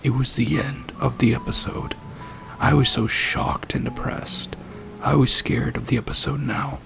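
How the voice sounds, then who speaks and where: Male narrator-reviewer, very heavy vocal fry, unhurried scornful delivery, music underneath. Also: medium pitch, steady tone. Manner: deliberate, foul-mouthed, scornful. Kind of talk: dramatic reading and ranting review. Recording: music playing behind the voice. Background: background music.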